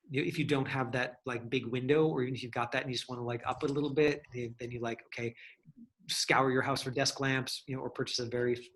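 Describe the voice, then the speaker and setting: A man's voice: mid-range voice, a bit bright, low-quality recording. A man leading a casual online class as an acting teacher, thinking aloud in a rambling, hedging way as he answers questions.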